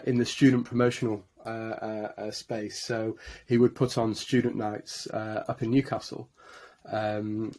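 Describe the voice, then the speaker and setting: Flat-voiced Yorkshire man with many fillers. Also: medium pitch. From Yorkshire, England. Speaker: guest, marketing agency director. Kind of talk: podcast interview storytelling.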